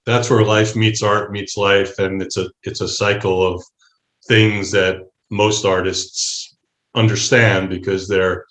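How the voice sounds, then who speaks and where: A middle-aged American man, a musician, talking earnestly and haltingly as a guest in a casual interview. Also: lowish voice, flat delivery, a bit bright. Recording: roomy echo.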